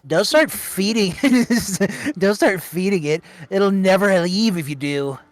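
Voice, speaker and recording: A man's voice: sits high, expressive, slow pace, crowd noise, voice pushed up. A man voicing a fussy, cautious teenage boy in an amateur game fan dub, slightly nasal and easily exasperated. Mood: scolding.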